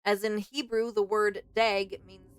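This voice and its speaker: A woman's voice: mid-range voice, little pitch movement, measured pace, a little hiss or hum. A woman streamer doing let's play commentary and reading game text aloud.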